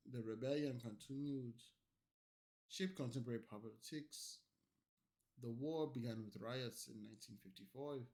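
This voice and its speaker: Tipsy man ranting on a stream. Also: mid-range voice. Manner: loud, profane, rambling rant. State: tipsy from alcohol and energy drink. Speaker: streamer reacting to clips. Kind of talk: drunk late-night ranting stream.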